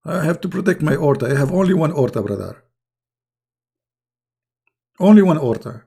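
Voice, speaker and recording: Hoarse, gravelly man mocking his opponents. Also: on the high side, very animated, moderate pace. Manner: mocking, scornful, with sudden loud rises. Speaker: YouTube channel host. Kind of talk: casual polemical monologue to viewers.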